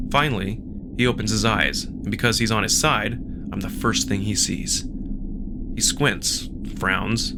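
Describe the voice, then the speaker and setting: A man reading first-person fiction as a narrator, in an expressive, dramatic storytelling style. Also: on the low side, background noise. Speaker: narrator and performer of a visual novel. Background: music.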